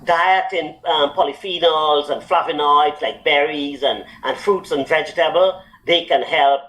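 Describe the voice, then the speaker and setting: A man with a notably high voice gives medical advice on a talk show. He explains carefully, filling pauses with ums and restarting phrases as he goes. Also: fairly bright tone, rough recording. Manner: explanatory, many fillers and restarts. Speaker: doctor answering health questions.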